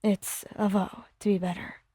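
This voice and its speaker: A woman voicing a gruff young man who is a remorseful, tender boyfriend, speaking softly and earnestly in an ASMR fan fiction roleplay. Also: level tone, normal speed, no room sound, clean studio sound, close to an everyday voice. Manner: soft, low, earnest.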